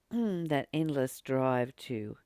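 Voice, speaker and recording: A woman giving a spiritual teaching, reading and commenting informally in an earnest, deliberate way, with frequent pauses and emphatic repetition. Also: a bass voice, big pitch swings, mellow, dry recording, studio quality. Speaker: spiritual teacher and channel host.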